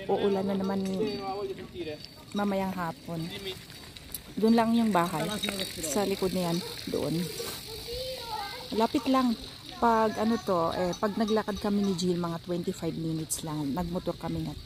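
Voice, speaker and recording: Unhurried Filipino woman vlogging. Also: medium pitch. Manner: chatty, unscripted. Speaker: travel vlogger. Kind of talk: casual vlog narration. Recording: loud music behind the voice. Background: music.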